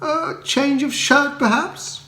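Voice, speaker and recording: A man voicing a foppish young English gentleman in a comic audiobook, chattering in a breezy, dithering upper-class way. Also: very high-pitched, takes it slowly, voice pushed up, full character voice. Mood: breezy, genial. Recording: roomy echo.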